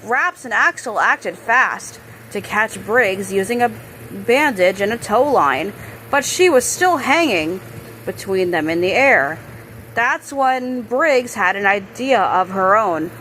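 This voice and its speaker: A woman reading a children's book aloud, expressive on characters' lines and sometimes stumbling and correcting herself. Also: medium pitch, slight background noise, low-quality recording. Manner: halting reader, stumbles and corrects words. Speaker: storybook reader.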